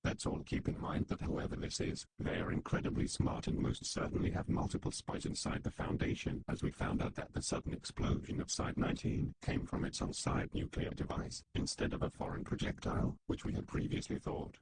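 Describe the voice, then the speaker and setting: A man's voice: fairly quick, few pauses, darkish tone, lightly acted, low-quality recording. A man playing a grim secret-organisation council member in a whispery, voiceless tone, in dramatic meeting dialogue. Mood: grave, analytical.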